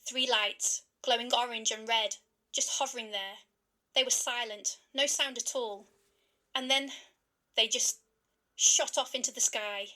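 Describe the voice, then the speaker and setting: A woman playing an awestruck, frightened eyewitness in a scripted interview, speaking in halting phrases. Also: on the high side, steady tone, pushed and bright. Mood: awed, frightened. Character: awestruck frightened witness of strange lights.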